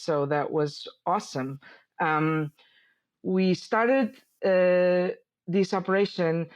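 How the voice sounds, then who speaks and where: A woman's voice: on the low side, a little mellow. A woman in her forties from Portugal with a Portuguese accent, a sheep farmer in an interview, speaking hesitantly with many fillers and restarts. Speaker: sheep dairy farmer, guest. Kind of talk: interview conversation.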